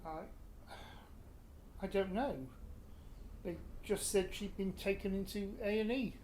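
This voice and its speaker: A man's voice: sits high, steady tone, a little slow, darkish tone, a little hiss or hum, poor audio. A man with a British accent playing a terse, dry-humoured middle-aged father in a naturalistic radio play. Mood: worried, shaken.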